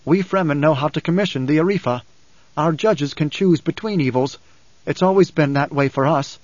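A man voicing a grave, resolute young son, a coolly prophetic desert mystic in an audiobook, measured and unyielding. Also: a little high, a little mellow, close to an everyday voice. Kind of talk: audiobook character acting. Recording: dull cassette audiobook, roomy echo.

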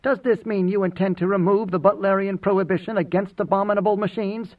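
A proud young priestess, as a male narrator plays her, talks in a high, stiff, challenging way. She is a testy, formal audiobook character. The recording is narrow like a phone and slightly far off. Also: steady tone, a little fast, close to an everyday voice. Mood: angry, challenging. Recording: muffled phone-like audio, distant.

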